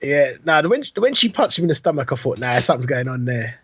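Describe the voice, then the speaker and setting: Podcast co-host: male, husky, dry close sound, eager slangy banter. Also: mid-range voice, very animated, quick pace, fairly bright tone, no echo, dull audio. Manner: slangy, eager, repeats himself in agreement. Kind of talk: casual banter.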